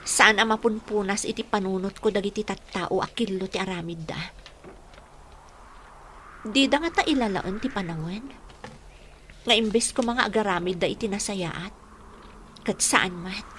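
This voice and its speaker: A woman's voice: mid-range voice, normal speed, long pauses, a bit bright, slight background noise, lightly acted. An actress playing a troubled, defiant young village woman in an emotive Ilocano radio drama. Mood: worried, brooding. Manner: emotive, melodramatic delivery.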